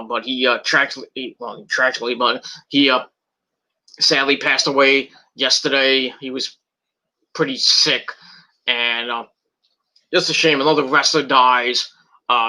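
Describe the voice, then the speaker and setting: Very bright-voiced man with a cold. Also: medium pitch, low-quality recording. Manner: rambling, halting with many fillers and restarts. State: has a cold with a tickling cough. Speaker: wrestling video reviewer. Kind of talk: casual rambling vlog.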